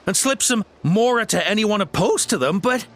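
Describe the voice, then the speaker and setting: A man's voice: high-pitched, lively intonation, normal speed, very noisy. A man voicing a nervous captured troublemaker in a video game, stammering and hesitant.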